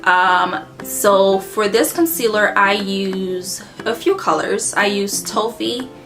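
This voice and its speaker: A woman's voice: medium pitch, takes it slowly, fairly bright tone. A woman with a Haitian Creole accent giving a chatty, rambling makeup review, full of ums and self-corrections. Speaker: beauty vlogger. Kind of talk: casual makeup product review. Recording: clear background guitar music.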